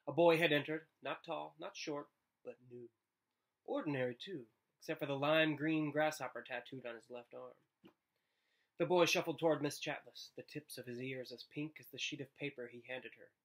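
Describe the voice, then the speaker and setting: A man in his thirties narrating a children's book aloud in an expressive read-aloud style. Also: medium pitch, rough recording. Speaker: storybook reader. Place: living room, indoors.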